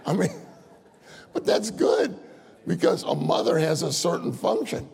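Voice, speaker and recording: A man's voice: on the high side, wildly swooping pitch, a little slow, vocal fry, darkish tone. An older man preaching a folksy sermon, telling stories, acting out voices and laughing often. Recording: long hall reverb.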